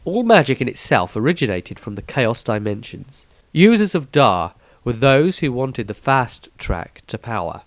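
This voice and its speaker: A man's voice: mid-range voice, no echo. A man reading his own fantasy story as a scripted, dramatic audiobook narration.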